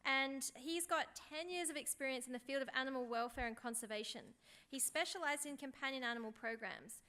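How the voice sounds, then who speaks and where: A woman's voice: sits high, a little fast, sharp tone, clean tone. A woman hosting a conference session, reading out a formal introduction for a speaker.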